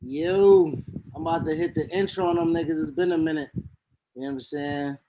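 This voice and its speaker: New man, high-pitched, loud hype podcast co-host, faint hiss behind. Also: steady tone, a little fast. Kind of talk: casual wrestling podcast chat.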